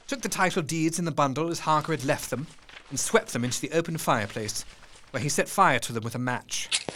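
A man narrating an audio drama in a tense, measured storytelling voice with hushed dips. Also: on the high side, a little hiss or hum.

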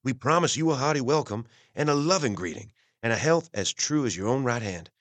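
An actor playing a hearty, gallant young gentleman adventurer, warm and earnest, reading in an audio drama. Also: medium pitch, lively intonation, a little fast, dry recording, natural voice. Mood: hearty, affectionate.

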